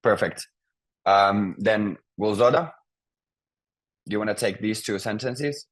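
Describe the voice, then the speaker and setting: A man's voice: lowish voice, unhurried, low-quality recording. A man teaching a beginner language class, patiently prompting students and explaining grammar step by step.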